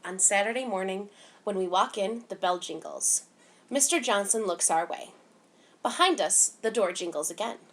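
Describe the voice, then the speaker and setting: A woman reading a picture book aloud to children in an expressive, animated way, giving emphasis to the dialogue. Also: medium pitch, moderate pace. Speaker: children's story time reader.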